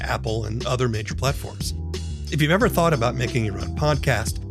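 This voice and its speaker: A man hosting a podcast, reading scripted introductions and promotional copy. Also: mid-range voice. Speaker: podcast host. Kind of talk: scripted podcast narration and ad reads.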